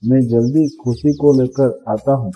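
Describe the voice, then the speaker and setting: A man's voice: medium pitch, steady tone, unhurried, mellow, husky, faint music behind. A man playing a worried, loving merchant father in a Hindi moral story cartoon, speaking earnestly.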